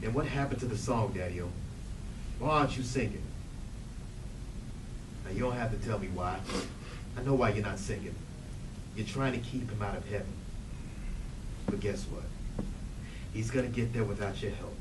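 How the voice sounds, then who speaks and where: A man's voice: fairly low pitch, moderate pace, long pauses, natural voice. A man playing a wounded but resolute grown son, speaking earnestly and emphatically in a dramatic stage reading. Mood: quiet bitterness. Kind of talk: stage readers theatre acting. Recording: echoey room, distant mic, background noise.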